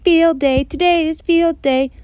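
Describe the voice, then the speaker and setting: Storybook kindergartner, acted by a woman: high, emphatic, excitable, blunt and bossy, with childish grammar. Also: measured pace. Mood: excited shouting. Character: excitable, bossy little kindergarten girl telling her story.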